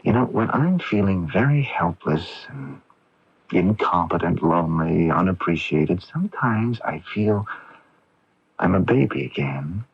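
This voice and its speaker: Patient male therapist, lowish husky voice, soft and measured, radio drama, dull AM recording. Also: lively intonation, exaggerated voice. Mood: gentle, probing. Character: gentle, probing male therapist, patient and confiding.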